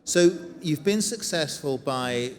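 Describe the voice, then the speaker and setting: A man's voice: fairly high pitch, expressive, slow. Wry male interviewer in a hall. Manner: wry, joking, interjects with quips, stammered restarts. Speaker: interviewer, moderator. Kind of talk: conference stage interview. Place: conference stage before an audience. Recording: reverberant conference hall.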